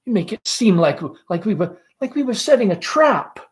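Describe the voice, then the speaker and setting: A man's voice: high voice, very animated, normal speed, breathy edge, pitched above the performer's own voice, heavily acted. A man voicing a shy, anxious young woman who protests hesitantly. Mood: protesting.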